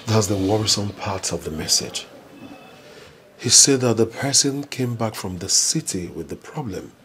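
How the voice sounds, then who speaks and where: A worried messenger who delivers troubling news earnestly. His voice is on the low side. Noticeable background noise and ambience surround him. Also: lively intonation, moderate pace, fairly bright tone. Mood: grave. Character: worried male messenger bringing grave news.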